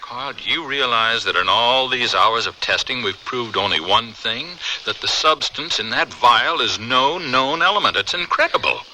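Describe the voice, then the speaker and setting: An actor playing a reasonable, loyal scientist in a radio drama, speaking in a measured, earnest way with urgent appeals. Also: male voice, medium pitch, expressive, bright, forceful tone, slight background noise, low-quality recording. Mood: astonished, puzzled. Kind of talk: old-time radio drama acting.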